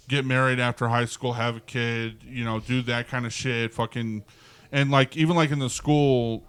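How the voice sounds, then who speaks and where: A man's voice: medium pitch, a bit bright, clean tone. A man in his early thirties hosting a podcast, chatting casually and bluntly with plenty of swearing and slang. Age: early 30s. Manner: profane, blunt, peppered with slang. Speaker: podcast host. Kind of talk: casual chat.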